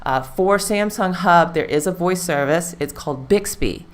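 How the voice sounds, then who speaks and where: A woman's voice: lowish voice, normal speed. A woman hosting a live stream, talking casually and rambling with fillers. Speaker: livestream host.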